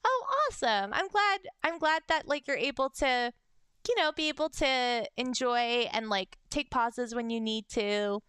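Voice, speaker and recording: A young adult woman with a high-pitched voice, chatting casually on a livestream and reading fan messages, bubbly and giggly. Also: unhurried, fairly bright tone, slight background noise. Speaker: VTuber streamer.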